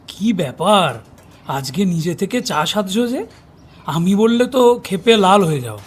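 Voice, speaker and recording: An actor playing an older, bookish retired detective in an audio drama, a husky-voiced husband with a teasing, easygoing way of talking. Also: male voice, high voice, measured pace, full character voice. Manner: teasing, expressive.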